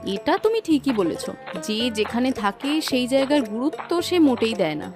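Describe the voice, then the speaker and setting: A woman playing an affectionate, bossy wife in a cartoon fairy tale, with a Bengali accent and an expressive manner. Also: on the high side, moderate pace, music in the background. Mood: pleased. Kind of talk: cartoon voice acting, domestic dialogue.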